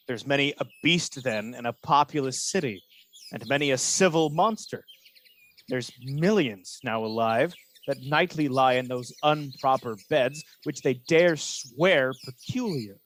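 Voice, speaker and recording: A man's voice: medium pitch, a bit bright, natural voice. A man acting a manipulative villain in a Shakespearean radio play, insinuating and theatrical, posing as a loyal officer. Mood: feigned concern. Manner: insinuating, measured, theatrical verse delivery.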